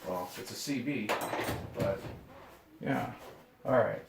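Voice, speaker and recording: A man's voice: medium pitch, slow pace. A man giving casual, rambling, laid-back unboxing commentary as a hobbyist host, muttering to himself as he goes. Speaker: video host, hobbyist radio collector. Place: indoor room, handling items from boxes. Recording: echoey room with clear noise and clicks.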